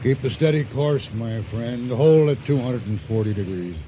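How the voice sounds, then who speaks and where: An actor playing an assured, commanding seagoing associate who talks with easy familiarity in a radio drama. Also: male voice, medium pitch, moderate pace, dark tone, slight background noise, close to an everyday voice. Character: confident, smooth-talking seagoing associate with secret plans. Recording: radio drama with music underneath.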